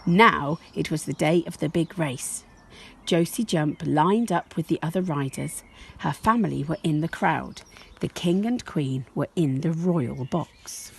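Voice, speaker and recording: A woman reading a children's story aloud like a teacher, expressive and measured in her storytelling. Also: low-pitched, gravelly, breathy edge.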